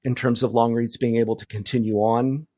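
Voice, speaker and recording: A man talks as a guest in a relaxed interview. His voice sits at an average pitch in a very dry recording. He speaks reflectively and haltingly, circling back to restart phrases. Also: no echo. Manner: halting, reflective, many false starts. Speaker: podcast guest, media founder and journalist. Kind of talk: casual interview conversation.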